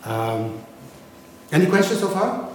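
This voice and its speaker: A man's voice: sits high, big pitch swings, unhurried, slight background noise. A man whose first language is Dutch, giving a university linguistics lecture and often checking understanding with "right?". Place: lecture room. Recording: echoey lecture room.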